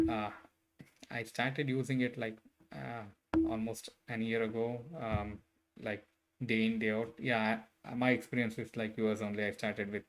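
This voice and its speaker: A man talks to viewers on a coding live stream. His voice sits low and stays flat. He speaks hesitantly, with lots of fillers and restarts, but stays friendly throughout. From Bangalore, India. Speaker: livestreaming software engineer. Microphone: desk mic set a bit far.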